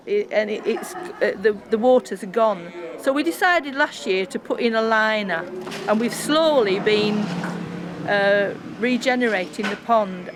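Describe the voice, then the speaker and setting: A woman, giving an informal interview account of local history as a volunteer. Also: medium pitch. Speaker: volunteer speaking for a countryside tea room.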